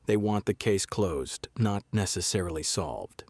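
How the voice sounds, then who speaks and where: An actor playing a guarded small-town sheriff in a noir audio drama, laconic, deadpan and measured. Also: male voice, deep voice, husky, dry recording, clean studio sound, full character voice. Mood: terse, uneasy.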